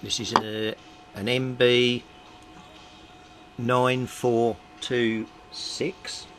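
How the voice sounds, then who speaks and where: A man's voice: medium pitch, flat delivery, extremely slow, fairly bright tone, faint music behind. A man giving an informal, methodical workbench explanation as a hobbyist repairer. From Britain. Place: small indoor home workbench. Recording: clearly noisy home recording.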